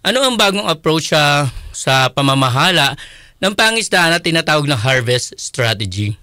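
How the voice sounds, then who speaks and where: A man's voice: fairly high pitch, very animated, moderate pace, sharp tone, no room sound, clean studio sound. A Filipino man co-hosting a radio interview in a conversational style. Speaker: radio co-host.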